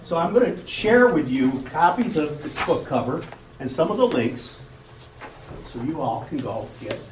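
Guy giving an informal talk, lively pitch, far from the mic, roomy echo. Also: normal speed. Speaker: club speaker. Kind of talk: informal public speech. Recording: echoey room, distant mic, faint noise.

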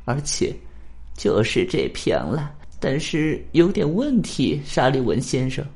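A man voicing a humble, sorrowful old widow who runs a restaurant, halting and deferential, in a radio drama. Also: mid-range voice, sing-song, a little mellow, voice pushed up. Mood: deferential, uneasy.

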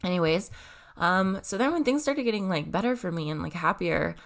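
Low-voiced congested young woman. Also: expressive, fairly quick, vocal fry. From Canada. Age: 20s. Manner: rambling, lots of "like" and "um", self-correcting. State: congested, stuffy nose. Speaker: vlogger. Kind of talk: casual personal talk to camera.

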